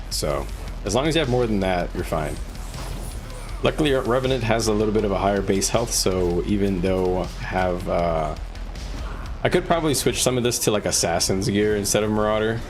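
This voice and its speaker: Guy streaming a game w music going loud, lowish voice, casual, kinda halting thinking out loud. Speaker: game livestreamer. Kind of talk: casual live gaming commentary, advising chat.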